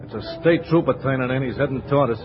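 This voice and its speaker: A man's voice: mid-range voice, very fast, over music, lightly acted. A man playing an eager, dim gangster henchman in a 1940s radio comedy, with slangy, ungrammatical talk. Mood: pleading, plaintive. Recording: muffled 1940s radio recording.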